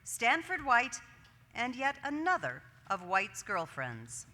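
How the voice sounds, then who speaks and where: A woman's voice: a little high, huge pitch swings, slow. A woman reading scripted stage directions as narrator of a staged reading, in a reverberant theatre. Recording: reverberant hall, faint noise and audience.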